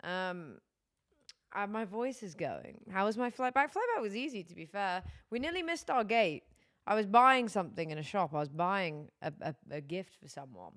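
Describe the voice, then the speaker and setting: A tired young woman streaming, chatting casually with her chat, her voice going hoarse. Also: mid-range voice, animated, moderate pace, fairly bright tone. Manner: rambling, chatty, many fillers.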